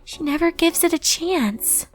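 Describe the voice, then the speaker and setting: A woman voicing an excitable, nervous young female pony pupil with eager, squeaky exclamations in a comedic story. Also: unhurried, faint music behind, poor audio. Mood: giddy, nervous. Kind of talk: comedic fan fiction voice acting.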